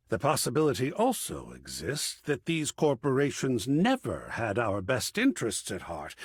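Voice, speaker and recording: Flamboyant gravelly art lover, wildly swooping. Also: male voice, fairly high pitch, a bit bright, no echo, studio quality, full character voice. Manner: dramatic, swooping, savoring words. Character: flamboyant, passionate, pretentious male art-loving gallery curator. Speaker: video game voice actor. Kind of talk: theatrical game character dialogue.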